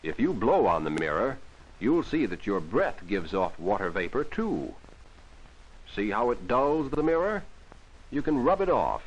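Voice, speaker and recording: A man's voice: fairly low pitch, very animated, unhurried, muffled recording. A man narrating a vintage 1940s educational film for children, explaining things simply and instructively on an old film soundtrack.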